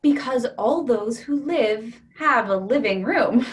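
A woman reading the part of a calm, literal-minded character who keeps pressing her point, in a staged play reading. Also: fairly high pitch, slow pace. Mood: arguing calmly. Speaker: reader in a readers theatre play.

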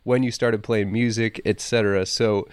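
An eager man hosts a podcast, chatty and casual, with a lowish voice. The recording is dry and close, with no room sound. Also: steady tone, moderate pace, a little mellow, clear, resonant tone, no echo. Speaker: podcast host.

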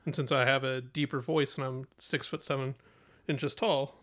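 A man's voice: mid-range voice, fairly even intonation, moderate pace, a bit bright. A young man co-hosting a podcast, telling stories in a laid-back, chuckling way. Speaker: podcast co-host. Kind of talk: casual chat.

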